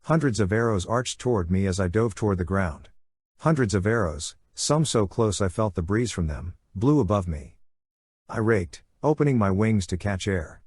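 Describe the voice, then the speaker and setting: Male audiobook narrator, slightly low and rough-edged, conversational yet dramatic delivery of a young adult adventure. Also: expressive, normal speed, a little mellow, no room sound. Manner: conversational, punchy short phrases, acts out sound effects.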